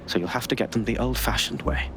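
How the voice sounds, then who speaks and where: An actor playing a wary, secretive intelligence analyst in a video game cutscene, speaking in a measured but urgent, guarded way. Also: male voice, mid-range voice, background noise, lightly acted. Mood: discreet, uneasy. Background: music.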